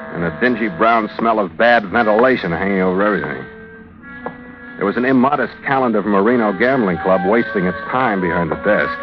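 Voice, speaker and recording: An actor playing a tough, wary ship's skipper in an old-time radio drama, clipped, cynical and wisecracking. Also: male voice, on the low side, natural voice. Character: tough, wary ship's skipper narrating his own adventure. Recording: muffled vintage radio recording with music behind.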